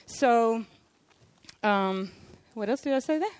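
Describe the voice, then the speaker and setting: A middle-aged woman with a loud voice giving a conference presentation, hesitant, with many fillers and restarts. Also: fairly high pitch, animated, slow pace, clean tone. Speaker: conference speaker, library interior designer.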